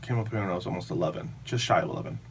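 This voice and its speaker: A low-pitched man in his thirties, originally from Venezuela, telling stories in a chatty, animated way as a podcast guest. He sits in a small foam-walled studio before a boom-arm mic, his voice a touch distant from it, with a faint hiss underneath. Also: very fast. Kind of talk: casual podcast chat. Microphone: studio mic on boom arm, headphones.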